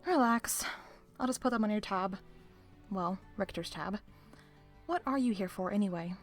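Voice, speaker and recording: A woman's voice: mid-range voice, lively intonation, normal speed, soft background music, close to an everyday voice. A woman playing a sly, self-assured librarian with arch, playful, theatrical phrasing in an audio roleplay. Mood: teasing.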